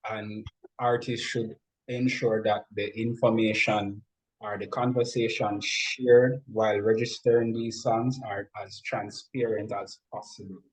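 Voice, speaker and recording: A man speaking as an interview guest, explaining things in a measured, instructive way. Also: mid-range voice, rough recording. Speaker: interview guest, music industry expert.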